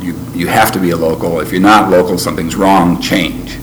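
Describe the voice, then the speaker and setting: Deep-voiced older man, slow and reflective. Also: fairly even intonation. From Canada. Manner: measured, reflective, with frequent pauses and restarts. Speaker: interviewee. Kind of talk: oral history interview. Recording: noisy background ambience.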